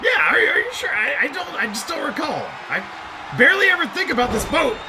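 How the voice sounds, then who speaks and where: Excitable high-voiced male wrestling commentator. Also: a little fast, crowd noise, poor audio. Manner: excitable, rambling, bursts into shouted calls. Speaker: play-by-play commentator.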